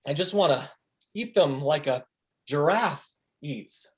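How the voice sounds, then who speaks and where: A man playing an excitable, paranoid and spaced-out friend in a comic play reading over a video call, with theatrical delivery. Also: on the high side, slow. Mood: dazed, trippy. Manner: theatrical, wildly swooping delivery. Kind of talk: comic stage script table read. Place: home, online video call.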